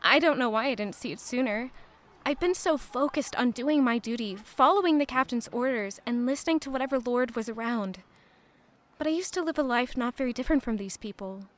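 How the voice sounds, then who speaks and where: A woman's voice: on the high side, lively intonation, brisk, natural voice. A woman playing an earnest, idealistic young noblewoman in an audio drama, with a light way of speaking. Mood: reflective, earnest.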